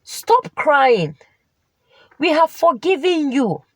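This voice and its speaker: Forgiving young girls, played by a woman: earnest, soothing, high, lively intonation. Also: slow pace, no echo, full character voice. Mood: consoling. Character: two forgiving young girls speaking as one.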